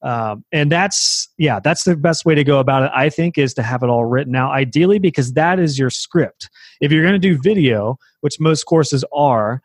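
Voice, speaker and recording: A man's voice: on the high side, expressive, moderate pace, husky, dry recording, clean studio sound. A man who teaches web design courses, talking casually in a podcast conversation and explaining at length with fillers and restarts.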